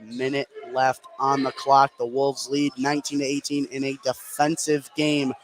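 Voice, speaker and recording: A man's voice: medium pitch, level tone, normal speed. An American man doing live play-by-play commentary on a basketball game. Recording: clear gym noise behind voice. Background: sports venue ambience.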